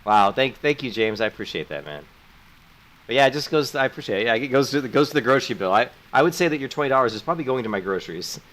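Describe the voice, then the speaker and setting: A man's voice: medium pitch, big pitch swings, quick pace, a bit bright. A middle-aged man with a sore throat, chatting casually and rambling to his viewers while streaming a game. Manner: friendly, rambling, thanks viewers. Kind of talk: casual chat while gaming.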